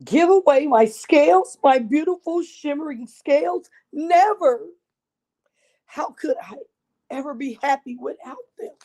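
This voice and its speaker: A woman's voice: high voice, unhurried, dark tone, pitched above the performer's own voice. A woman voicing a proud, vain male storybook fish in a haughty, exclamatory way. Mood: distressed, refusing.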